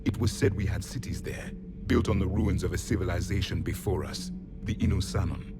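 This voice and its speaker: A deep-voiced, ancient alien warrior, played by a man, the last of his kind. He speaks with cold pride and grave, measured disdain, as in a video game, over prominent music. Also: natural voice. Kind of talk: video game character dialogue.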